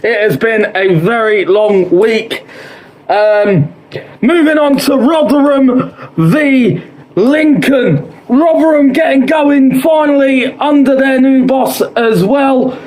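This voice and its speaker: Hoarse high-voiced man giving football tips. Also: animated, takes it slowly, a little mellow, poor audio. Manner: chatty, quotes odds, repeats himself, frequent "uh". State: hoarse, losing his voice. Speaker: football predictions host. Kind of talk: solo football score predictions.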